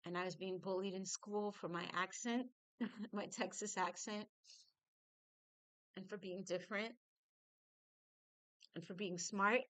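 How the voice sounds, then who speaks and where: A middle-aged woman from New York telling her childhood story, halting and reflective, with frequent fillers. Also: medium pitch, steady tone, a bit bright, no echo, rough recording. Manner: reflective, halting with fillers, laughs through painful memories. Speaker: singer. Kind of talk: personal storytelling.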